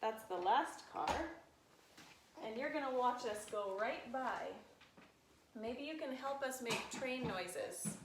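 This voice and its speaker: High, animated woman hosting children's storytime. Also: slow pace, noisy background. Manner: simple sing-song phrases for children. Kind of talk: playful singing and talk for young children. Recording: roomy echo, loud guitar music behind.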